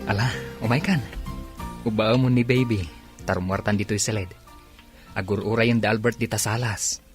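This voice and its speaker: A man acting an attentive, gently reassuring husband in an Ilocano radio drama, with a Filipino Ilocano accent. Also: lowish voice, steady tone, normal speed, soft background music, lightly acted.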